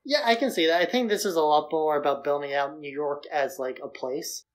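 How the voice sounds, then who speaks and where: A man's voice: fairly high pitch, a little fast. A man talking casually as the co-host of a podcast, in an informal discussion of films.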